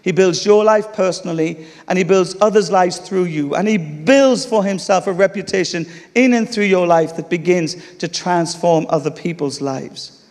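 A man's voice: high-pitched, moderate pace. A man with an English accent preaching a sermon, telling a funny story with laughs before turning to teaching. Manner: humorous, animated storytelling with laughter. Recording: long reverb of a large hall.

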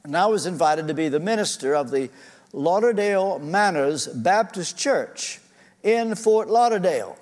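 Older guy preaching, kinda high voice, earnest personal story, big echoey church sound. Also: expressive, moderate pace, darkish tone. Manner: earnest, personal, shifting pace with frequent uh fillers. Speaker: pastor. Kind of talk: storytelling sermon. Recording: reverberant church hall.

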